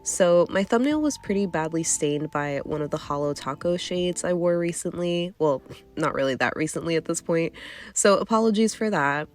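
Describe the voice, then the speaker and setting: A woman's voice: fairly low pitch, expressive, normal speed. A young woman with a nasally congested voice, casually reviewing nail polish in a chatty, candid way. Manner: chatty, candid, hedging with fillers. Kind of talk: casual product review vlog.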